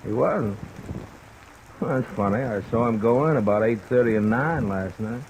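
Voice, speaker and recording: A man's voice: medium pitch, moderate pace, natural voice, poor audio. An actor playing a sly, falsely friendly man who talks insinuatingly, in 1950s-style radio drama, on an old recording. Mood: sly, casual. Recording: old radio recording, faint rain noise.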